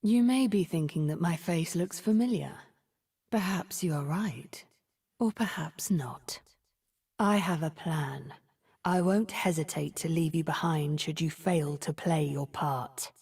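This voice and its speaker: A woman playing a cunning, haughty immortal sorceress in a video game, with a breathy, husky, deliberate and condescending delivery that often drops to a whisper. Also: sits low, darkish tone, full character voice. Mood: haughty, menacing. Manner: theatrical, deliberate, silky condescension. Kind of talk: video game character voice acting. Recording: music behind the voice.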